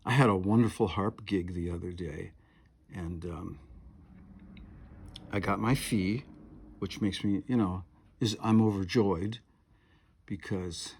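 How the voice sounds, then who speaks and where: A man's voice: deep voice, breathy edge. A man in his seventies, a piano and harp player, talking at home in a meandering, chatty way with lots of fillers and asides. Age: 70s. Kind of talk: rambling monologue to camera.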